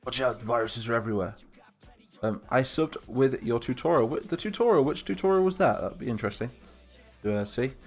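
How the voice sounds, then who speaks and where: A man's voice: medium pitch, a little fast, a little mellow, faint music behind. A man with a Lincolnshire English accent, casually chatting and reading out viewer comments during a live stream while building a game map. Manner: chatty, rambling, reads chat comments aloud.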